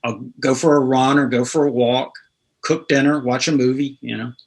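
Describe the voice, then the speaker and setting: A man's voice: medium pitch, fairly even intonation, moderate pace, creaky, husky. A man in his sixties from North Carolina, a guest telling rambling anecdotes in a casual interview. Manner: rambling, anecdotal, laughs through stories. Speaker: guest guitarist.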